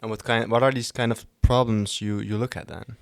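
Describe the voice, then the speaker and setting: A young man hosting a university podcast, asking questions haltingly and repeating words as he goes. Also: on the low side, little pitch movement, creaky, no echo, studio quality. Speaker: podcast host. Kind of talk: interview hosting.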